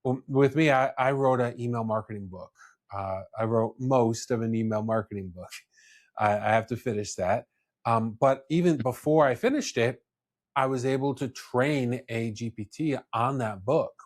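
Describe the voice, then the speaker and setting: A man's voice: medium pitch, moderate pace. A man hosting a podcast, talking casually and explaining with personal examples, often repeating words as he goes. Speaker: podcast host.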